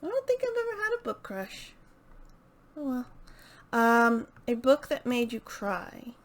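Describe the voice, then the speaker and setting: A woman chatting casually and unscripted as a book vlogger, hesitant and halting as she thinks aloud. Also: fairly high pitch, sing-song. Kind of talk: casual unscripted chat to camera.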